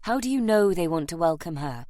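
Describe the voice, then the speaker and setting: A woman voicing a proud, scowling young gentleman in an audiobook, with a gruff, curt, snappish manner. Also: on the low side, expressive, a little fast, no echo, studio quality, natural voice. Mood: questioning. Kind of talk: audiobook character acting.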